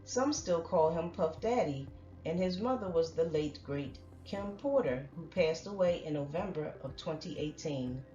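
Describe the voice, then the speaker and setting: A woman reporting celebrity news in a steady, read-out narration. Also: low voice, normal speed, distant mic. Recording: roomy, dull sound with faint music.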